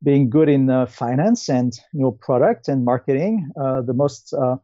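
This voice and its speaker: A man in his fifties with a French accent answers interview questions conversationally, in a hesitant, halting way with many pauses. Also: mid-range voice, mellow, dry recording, lo-fi sound. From France. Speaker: guest, company founder and CEO.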